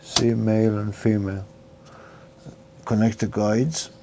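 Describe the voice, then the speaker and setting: A man with a fairly low voice gives an informal, hands-on repair demonstration. He talks carefully through each step, partly as if to himself. The recording has faint noise and clicking behind him. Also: little pitch movement, slow pace. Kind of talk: informal hands-on tutorial narration.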